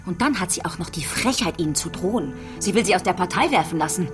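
A relentless female detective played by an actress with a fairly low voice. She questions insistently, needling and taunting, in the manner of a radio crime drama. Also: expressive, quick pace, over music, natural voice. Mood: taunting, probing.

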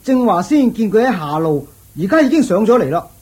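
An excitable young guard. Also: female voice, mid-range voice, brisk, husky. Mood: excited. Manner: excited, exclaiming. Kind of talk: radio drama acting.

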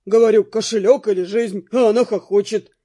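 A man's voice: high-pitched, steady tone, brisk, mellow. An actor playing an indignant, sulky young male robber in an audiobook, with whiny, blustering complaints. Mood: indignant, whining.

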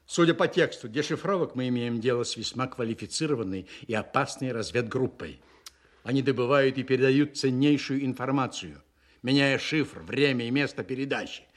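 A male actor plays a demanding Soviet general in a radio drama. He is a man of middling pitch who questions and orders curtly, impatient and hard to satisfy. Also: brisk, natural voice. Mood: stern. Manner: curt, commanding, interrogating. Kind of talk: Russian radio play acting.

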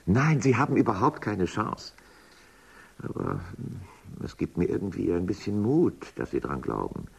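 An actor playing a capricious, cynical, world-weary official in a science fiction radio drama, moody, rambling and muttering, with a gravelly, husky voice. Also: male voice, lowish voice, very animated, normal speed, full character voice. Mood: resigned. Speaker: high-ranking boss.

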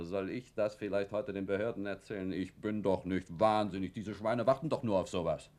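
A man's voice: on the low side, lightly acted. An actor playing an irritable, self-pitying man with something to hide in a radio play, speaking in an agitated way. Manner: agitated, bursting into shouts. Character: irritable, guilty man, cursing and self-pitying, fearful of exposure.

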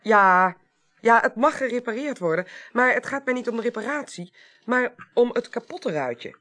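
An actress playing a sharp-witted, assertive investigator in a 1980s radio crime drama, speaking precisely and matter-of-factly. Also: female voice, a little high, expressive, normal speed. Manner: precise, assured, matter-of-fact.

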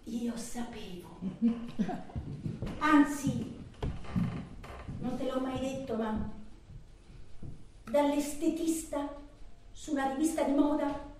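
A lovelorn, anguished woman waiting by the phone. Her voice is extremely high and she speaks haltingly, swinging between murmurs and outcries in a theatrical stage monologue. The sound is very echoey and a little distant from the microphone. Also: darkish tone. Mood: tender, wounded. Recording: roomy, distant, echoing, faintly noisy.

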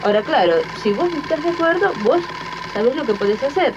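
A woman's voice: sits high, lively intonation, fast talker. A woman playing a bossy, manipulative Argentine employer's wife in a 1980s radio drama, imperious and impatient. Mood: imperious, threatening. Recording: dull, noisy 1980s archive radio tape.

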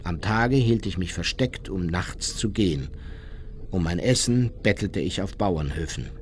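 Unrepentant old-regime war criminal narrating his memoir for radio drama, low, measured, matter-of-fact. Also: expressive, a little hiss or hum. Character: unrepentant male Nazi war criminal recounting his life. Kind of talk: radio play first-person memoir narration.

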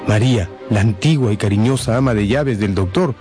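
A man's voice: fairly low pitch, brisk, dark tone, faint music behind. A man narrating a scripted radio drama as a dramatic storyteller.

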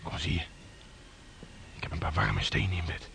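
Deep, gravelly, gruff sailor. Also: male voice, steady tone, moderate pace, a bit bright, a little hiss or hum, heavily acted. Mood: subdued, conciliatory. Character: gruff, grumbling sailor, confiding with a mate. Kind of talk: radio drama acting.

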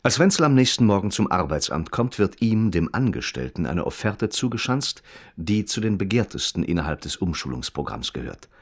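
A male radio play narrator with a very low voice. He is detached and observant, measured and precise, yet his intonation moves widely through the scripted prose. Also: sing-song, exaggerated voice. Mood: detached, matter-of-fact.

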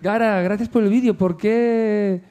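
A man's voice: high voice, steady tone, measured pace, mellow. A man co-hosting a radio programme in a casual, chatty style. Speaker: radio show co-host.

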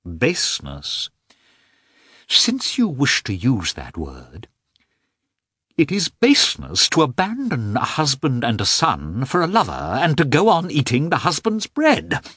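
A man reading an audiobook, voicing a cold, offended aristocratic husband in a squeaky, raised voice with coldly emphatic speech. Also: expressive, normal speed, a bit bright, husky, no room sound, studio quality, exaggerated voice. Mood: angry. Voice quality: squeaky, high-strung. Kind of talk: audiobook character voice.

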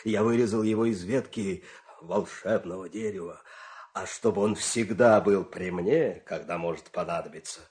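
An actor performing in an audio fairy-tale play as an expressive, theatrical storyteller and coaxing farmer husband. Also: male voice, deep voice, normal speed, lightly acted. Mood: hushed, confiding.

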